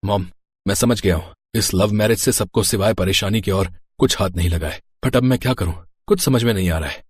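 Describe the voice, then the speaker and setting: A man's voice: on the low side, fairly quick, slightly rough, dry recording. A man playing an earnest, devoted young husband and son in a cartoon family drama. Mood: regretful.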